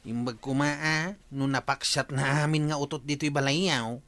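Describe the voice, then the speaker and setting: A man's voice: on the high side, fairly even intonation, moderate pace, a bit bright, close to an everyday voice. A man playing an agreeable, complaining village gossip in an audio drama, with an Ilocano accent. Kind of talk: Filipino audio drama acting.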